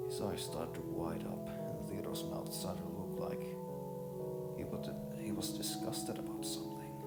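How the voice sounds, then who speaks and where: A man reading a scripted story as an amateur narrator, stumbling and repeating words as he goes. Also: low voice. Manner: halting read with stumbles and repeats. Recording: roomy echo with music behind. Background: music.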